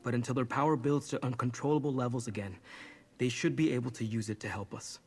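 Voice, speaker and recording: A man's voice: mid-range voice, fast talker, vocal fry, darkish tone, close to an everyday voice. An actor playing an earnest, apologetic young man burdened with guilt in a video game. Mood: resolute, regretful.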